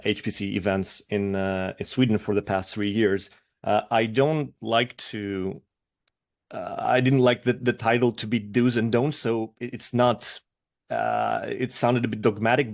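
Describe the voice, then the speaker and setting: A man's voice: lowish voice, gravelly. A man from Greece giving a webinar presentation over a video call, hesitant with many fillers and restarts.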